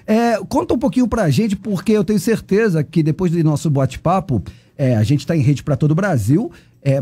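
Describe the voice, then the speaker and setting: Playful radio host guy, dark husky voice, jokes around with the guest. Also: high-pitched. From Rio de Janeiro. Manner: lively, teasing. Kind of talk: joking radio chat. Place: radio studio.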